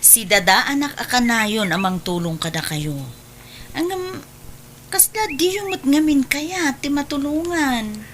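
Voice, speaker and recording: A woman's voice: high voice, animated, measured pace, a bit bright, a little hiss or hum. A woman playing a hurt, generous older sister in an emotional, pleading Filipino radio drama style. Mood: hurt, earnest. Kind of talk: Ilocano radio drama acting.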